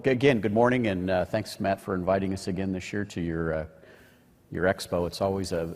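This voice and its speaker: Man, conference panelist, very low voice, informal presenting style, reverberant hall. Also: darkish tone. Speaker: panelist, aviation association president. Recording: echoey hall with long reverb.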